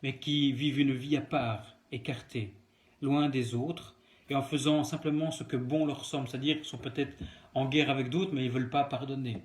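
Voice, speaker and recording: A man's voice: mid-range voice, steady tone, moderate pace. A man delivers a devotional talk, speaking earnestly to the listener and repeating phrases for emphasis. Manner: earnest, emphatic repetition and direct address. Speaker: preacher giving a daily devotional.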